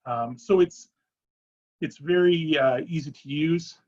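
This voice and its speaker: A man giving an informal, somewhat halting product presentation and answering questions as a company presenter in a webinar. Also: on the high side, a little mellow. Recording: dull, lo-fi webinar audio.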